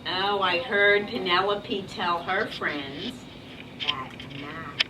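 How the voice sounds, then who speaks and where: A woman's voice: on the low side, fairly even intonation, takes it slowly. A woman teacher reading a picture book aloud to young children in a classroom, encouraging and instructive as she talks to them. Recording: distant mic, very noisy classroom.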